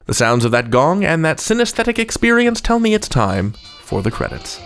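A man's voice: a little high, huge pitch swings, normal speed, fairly bright tone, faint music behind. A man hosting a podcast from a script, playful, wry and a little theatrical. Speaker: podcast host.

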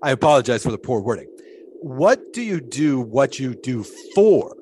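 A man's voice: mid-range voice, big pitch swings, normal speed, darkish tone, lightly acted, low-quality recording. Guy acting as pompous 1920s stunt showman, very swoopy voice, waxing philosophical, audio drama, some background noise. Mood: probing, insistent. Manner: pompous, wordy, musing, theatrical. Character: philosophical, grandiloquent 1920s flagpole-sitting showman.

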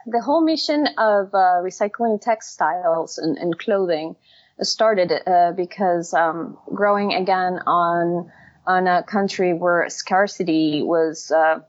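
A woman's voice: on the low side, slow pace, no echo, lo-fi sound. A woman from Venezuela with a Venezuelan Spanish accent, answering interview questions as a founder, speaking hesitantly with many fillers and restarts. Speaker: company founder and guest.

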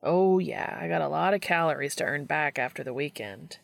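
Woman reading as a cheeky, creaky-voiced geeky guy. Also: low-pitched, moderate pace, no echo, clean studio sound. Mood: playful, teasing. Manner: casual, slangy, teasing.

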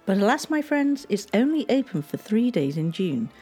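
A woman giving scripted history narration in a measured, gently storytelling way. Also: mid-range voice, very animated, breathy edge, soft background music.

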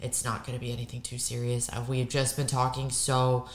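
A young woman co-hosting a casual podcast, hoarse and raspy from losing her voice, emphatic and repeating words for stress. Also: a bass voice, completely flat delivery, fairly quick, reverberant, slight background noise. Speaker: podcast co-host.

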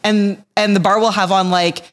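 A woman in her thirties from Montreal, co-hosting a podcast and telling stories in a quick, animated way. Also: mid-range voice. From Montreal, Canada. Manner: animated storytelling, quick bursts. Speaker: podcast co-host. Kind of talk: casual chat. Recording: long hall reverb.

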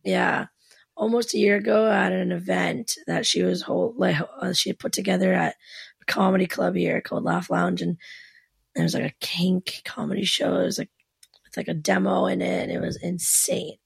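A young woman hosts a podcast in a loose, excitable way, swearing casually as she talks. Her voice is raspy and hoarse, thick with gravelly fry and a husky edge. It is recorded close and dry. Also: on the low side, normal speed, no room sound. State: hoarse, partly lost voice. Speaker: podcast host.

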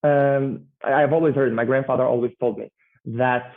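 A man talks through a panel discussion at a steady, reflective pace. His voice comes through thin and tinny, with the narrow sound of a phone line. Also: medium pitch. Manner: measured, reflective, speaks in lists.

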